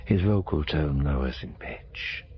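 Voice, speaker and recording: A man's voice: extremely low pitch, expressive, measured pace, husky, muffled recording. A man with a synthetic voice reading short descriptive narration in an audiobook. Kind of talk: clipped present-tense descriptive narration. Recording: faint background noise.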